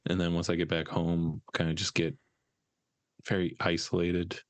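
A man with a deep voice, a podcast co-host, talking in a hesitant, rambling way full of fillers. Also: monotone, normal speed, no echo. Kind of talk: casual podcast chat.

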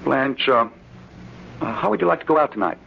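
An actor with an American accent playing a brooding, smooth-talking, scheming husband in a 1940s-style radio drama. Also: male voice, medium pitch, animated, a little fast. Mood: coaxing. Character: brooding, smooth-talking husband secretly plotting against his wife. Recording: muffled, noisy old radio broadcast recording.

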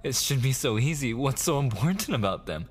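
A man playing a possessive, obsessively jealous boyfriend in an intimate ASMR audio roleplay, pleading and menacing in halting, soft speech that slips into whispers. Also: mid-range voice, big pitch swings. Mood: desperate, menacing. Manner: pleading, halting and repetitive, slipping into breathy whispers.

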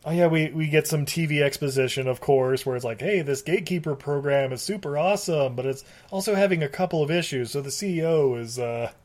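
A man co-hosting a casual movie podcast, recapping scenes with lots of acted-out bits. His voice sits a little high for a man, and he laughs often. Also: a little fast, few pauses, darkish tone. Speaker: podcast co-host.

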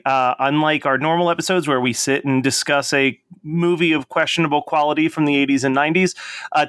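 A man's voice: on the high side, a bit bright, dry recording, studio quality. A man hosting a podcast interview, friendly and loose, chuckling and saying "like" often. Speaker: podcast host. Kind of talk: casual interview.

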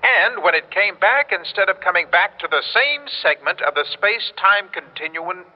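Through a dull, reverberant old radio recording comes a desperate man from the future. His pitch rides on the high side and swoops about as he stammers and pleads. It is urgent vintage radio drama. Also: lively intonation, normal speed. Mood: earnest explaining. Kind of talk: classic radio drama acting.

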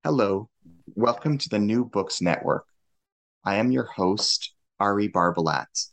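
A man hosting a podcast interview, formal and measured in his questions. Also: lowish voice, expressive. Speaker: podcast host.

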